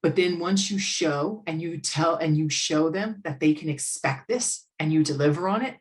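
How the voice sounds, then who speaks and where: Low-voiced outgoing American woman. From United States. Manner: outgoing, speaks in quick bursts with restarts. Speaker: guest expert. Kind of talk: casual business chat.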